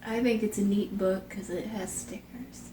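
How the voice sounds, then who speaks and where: A woman co-hosting a casual chat, dropping playful deadpan asides. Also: mid-range voice, moderate pace. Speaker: co-host. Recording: distant mic, roomy echo, background hiss.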